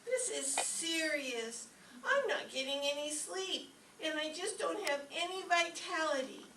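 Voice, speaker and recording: A woman's voice: sits high, lively intonation, a little slow. A woman playing a naive, drowsy, dim-witted young secretary in an old-time radio comedy. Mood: worried, earnest. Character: ditzy, sleepy young female secretary, naive and earnest. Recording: roomy, distant stage recording with faint noise.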